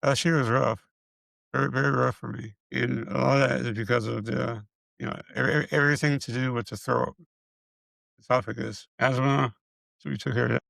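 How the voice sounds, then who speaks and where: A middle-aged man with a raspy voice, giving a casual update on his health. He stutters and repeats syllables, and he is short of breath as he struggles to get words out. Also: medium pitch, fairly even intonation, normal speed, fairly bright tone, dry recording, low-quality recording. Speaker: video creator sharing his illness progress.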